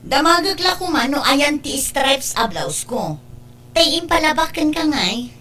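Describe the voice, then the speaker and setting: A woman's voice: high voice, expressive, a little slow, pushed and bright, heavily acted. A woman acting in an Ilocano radio drama as a matter-of-fact mistress of the house, with an Ilocano accent. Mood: concerned. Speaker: drama actor.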